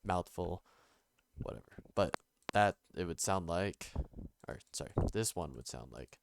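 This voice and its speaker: Young guy, nasal cuz he's getting over being sick, really low gravelly voice, halting and low-key. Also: lively intonation, very noisy. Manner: halting, many ums and restarts, low-key. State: recovering from illness. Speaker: music production tutor. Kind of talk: casual screen-recorded tutorial.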